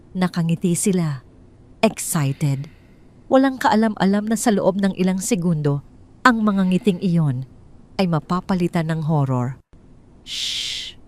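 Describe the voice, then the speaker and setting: A woman's voice: lowish voice, darkish tone. A woman narrating a first-person audio drama in a tense, dramatic storytelling manner.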